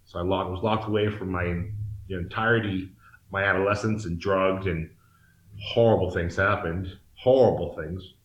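A man's voice: deep voice, fairly even intonation, normal speed, lo-fi sound, a little hiss or hum. A man in his forties from Massachusetts, candidly telling his life story as an interview guest, earnest and searching, often restarting his sentences. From Massachusetts, United States. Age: 40s. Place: a room in Mexico. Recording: echoey room.

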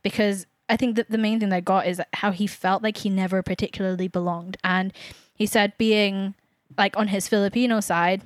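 Young woman, casual chat, thoughtful, mid pitch, dry sound. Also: fairly even intonation, normal speed, no room sound. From England. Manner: thoughtful, hesitant, many fillers. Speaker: podcast co-host.

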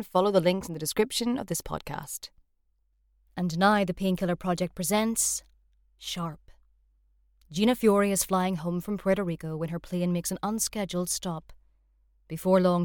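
Female podcast announcer, fairly low voice, scripted delivery, dry studio-like sound. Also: dry recording. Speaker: podcast presenter.

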